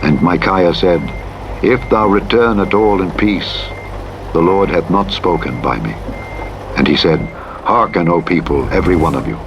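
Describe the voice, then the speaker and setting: A man narrates scripture in a solemn, formal style, with measured and dramatic delivery. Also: sits low, muffled recording. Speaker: audiobook Bible narrator. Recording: loud truck engine noise over voice. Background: truck engine and vehicle sounds from driving simulator game.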